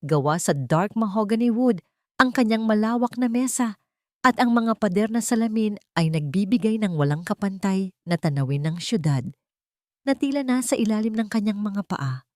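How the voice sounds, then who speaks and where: A woman's voice: mid-range voice, expressive, normal speed, a little mellow, no echo, clean studio sound. A woman storyteller reading scripted, dramatic narration for an audio drama.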